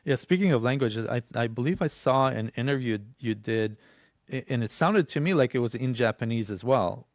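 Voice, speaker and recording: A man hosting a podcast, asking questions in a casual, somewhat halting way. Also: mid-range voice, animated. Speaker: podcast host. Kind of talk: casual interview.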